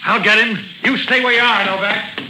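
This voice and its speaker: A man's voice: high voice, fairly even intonation, moderate pace, lightly acted. Angry, bullying radio cop played by a man, noisy recording. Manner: forceful, bullying. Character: angry, threatening tough police detective. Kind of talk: 1940s radio noir acting.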